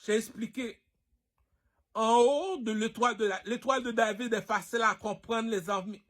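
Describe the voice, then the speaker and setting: This is a man with a notably high voice for his sex. He speaks like an online personality holding forth to his followers in loose slang. He boasts and provokes, and keeps hammering the same catchphrases as he rambles. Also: a bit bright. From Haiti. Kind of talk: rambling, slangy monologue to camera.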